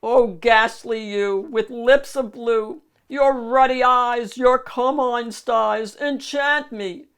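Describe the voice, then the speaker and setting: A woman's voice: fairly high pitch, slow pace, hardly any pauses, smooth, voice pushed up. A woman voicing a lovestruck, ugly ogre princess in a storybook, cooing theatrical rhymes of love. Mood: adoring. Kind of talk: storybook character voice.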